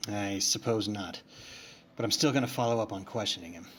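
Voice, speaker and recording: Gruff, husky actor as police captain. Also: male voice, lowish voice, fairly quick. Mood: doubtful, probing. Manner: blunt, rough, plain-spoken. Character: gruff, blunt male Los Angeles police captain. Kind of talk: 1940s crime audio play acting.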